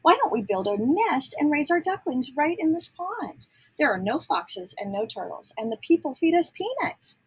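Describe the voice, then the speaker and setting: A woman's voice: sits high, very animated, moderate pace, pitched above the performer's own voice. A woman voicing a fussy, easily flustered mother mallard duck in a read-aloud storybook. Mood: pleased, eager. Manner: squawking, emphatic when alarmed.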